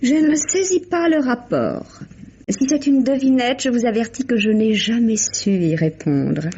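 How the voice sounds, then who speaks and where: A woman's voice: on the high side, lively intonation, unhurried, warm tone, husky, full character voice. An actress playing an impatient, evasive woman on the run in a vintage radio crime drama, curt and defiant. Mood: dismissive. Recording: dull lo-fi vintage radio recording.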